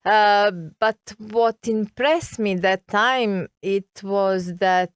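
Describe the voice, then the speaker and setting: Slow, hesitant Serbian-accented woman. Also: medium pitch, smooth, no echo, clean studio sound. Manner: hesitant, searching for words with many fillers. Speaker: guest. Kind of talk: interview conversation.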